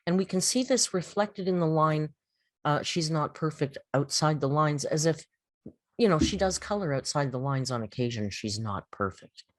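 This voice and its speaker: A woman hosting a mock-serious poetry critique, explaining in a deliberate, theatrical, scholarly manner. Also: sits low, animated, dry recording. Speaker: host giving a reading and critique. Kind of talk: mock-serious dramatic poetry reading and literary commentary.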